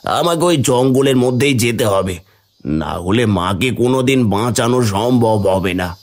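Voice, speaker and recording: A man voicing a devoted young village son, earnest and pleading, in an animated fairy tale. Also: medium pitch, a little slow. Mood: earnest, reassuring.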